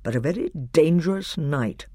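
A man reading aloud voices a cautious, sulky lord who counsels his king in a low, deferential voice. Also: animated, moderate pace, dark tone, slightly rough, no echo, clean studio sound. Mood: sly, deferential.

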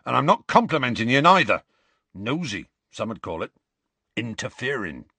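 A man voicing a huge, gruff, secretive half-giant with rustic, muttering speech for a storybook reading. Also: mid-range voice, very animated, moderate pace, sharp tone, dry recording, exaggerated voice. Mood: grudging, muttering.

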